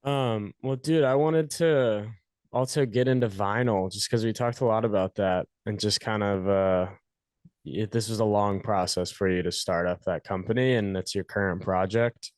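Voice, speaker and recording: Casting: chill male host, lower voice, loose and profane, dry close mic. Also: moderate pace, darkish tone, dry recording. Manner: laid-back, swears casually, short reactions. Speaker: podcast host. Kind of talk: casual interview chat.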